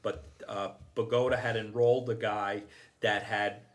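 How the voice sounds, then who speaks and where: A man's voice: fairly low pitch, little pitch movement, normal speed. A middle-aged man, a deputy fire chief giving earnest advice in a podcast interview, often repeating himself and adding "okay".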